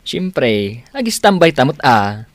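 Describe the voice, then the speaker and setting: An actor with an Ilocano accent playing the hero's supportive best friend in a radio drama, speaking in a calm, soothing manner. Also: male voice, mid-range voice, slow, dry recording. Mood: reassuring.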